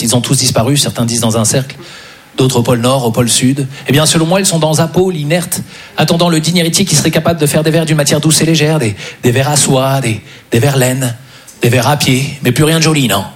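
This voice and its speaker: A male comedian delivering a theatrical stand-up monologue full of wordplay in a declamatory, mock-impassioned manner. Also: medium pitch. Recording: noisy live venue with audience.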